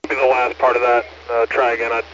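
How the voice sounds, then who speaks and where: A man's voice: mid-range voice, monotone, fast. An American man working as radio net control, matter-of-fact and courteous, reading traffic back over a two-way radio. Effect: two-way amateur radio transmission. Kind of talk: procedural two-way radio traffic with read-backs. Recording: muffled noisy two-way radio audio.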